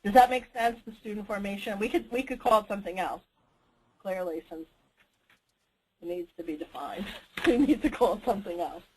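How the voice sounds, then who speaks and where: A woman organizer speaks informally on a conference call with a slightly noisy line. Her pitch is middling, and she thinks aloud tentatively, often putting things to the group. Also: brisk, pauses often, warm tone.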